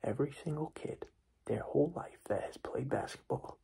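Whispering, husky young man. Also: medium pitch, normal speed, warm tone. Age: young adult. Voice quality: breathy, husky, mostly whispered. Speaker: ASMR creator playing a basketball coach. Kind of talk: ASMR roleplay pep talk and play explanation. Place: small dark room indoors.

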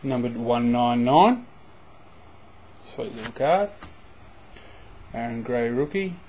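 Man, casual card-break commentary, mid-pitched, excitable, faint hiss. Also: slow. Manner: excitable, bursts loud on big pulls. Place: indoors at a table.

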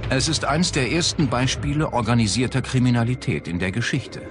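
A man's voice: mid-range voice, expressive, a little fast. A man reading scripted documentary narration. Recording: loud music under the voice. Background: prominent background music.

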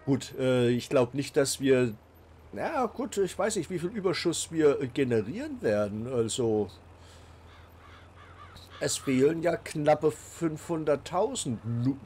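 A man's voice: on the high side, sing-song, slow, darkish tone, a little hiss or hum. A man with a cold, hosting a casual let's play and talking along with many fillers and restarts. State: has a cold. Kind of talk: casual game commentary.

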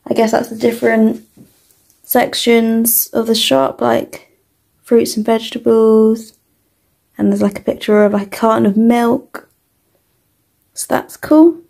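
Slow, even-voiced young British woman. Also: fairly high pitch, gravelly. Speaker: toy reviewer. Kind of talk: casual product walkthrough.

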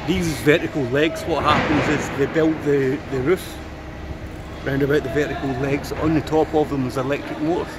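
A man's voice: fairly high pitch, fairly even intonation, moderate pace. A man gives a casual, musing running commentary as a vlogger, explaining things and asking rhetorical questions. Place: outdoors in stands of large open stadium. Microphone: handheld phone or camera. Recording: loud machinery noise, outdoor handheld recording.

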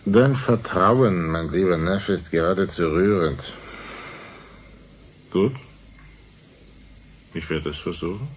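A man's voice: low voice, takes it slowly, long pauses, a little hiss or hum. A man playing a wry middle-aged uncle, a writer, in a German radio drama, with a dry, ironic manner. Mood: wry, relenting.

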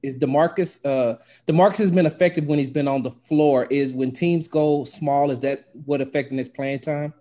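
Mellow-voiced male sports reporter. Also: a little high, steady tone, normal speed, few pauses. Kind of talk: asking questions at a press conference. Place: remote call with an unstable connection.

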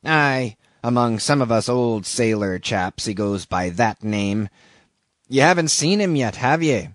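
A man's voice: medium pitch, expressive, unhurried, dry recording, clean studio sound, lightly acted. A man voicing a shabby old sailor in an audiobook, an ominous, derisive stranger who talks insistently in archaic seafaring speech. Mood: urgent. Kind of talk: audiobook character reading.

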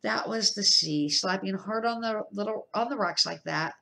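Woman, middle-aged, mid pitch, amateur children's book narration, slightly halting. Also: gravelly, pushed and bright. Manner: halting, stumbles and corrects words. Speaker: amateur storybook reader. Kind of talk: reading a children's novel aloud.

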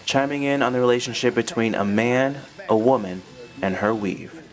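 A man's voice: mid-range voice, normal speed. A man giving a light, wry scripted voice-over narration.

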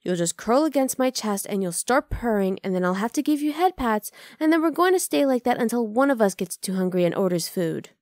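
A woman's voice: on the high side, lively intonation, brisk, hardly any pauses, a little mellow, no echo, natural voice. Close, dry, teasing half-dragon girlfriend voiced by a woman. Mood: coaxing, teasing. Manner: casual, coaxing, affectionate teasing. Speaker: ASMR roleplay voice actor. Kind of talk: ASMR roleplay acting.

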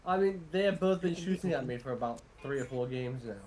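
Teammate, male, Northwest English accent, animated and mock-baffled, faint hum behind. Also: mid-range voice, a little fast. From Northwest England. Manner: teasing, swearing, bewildered. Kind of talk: casual gaming chat.

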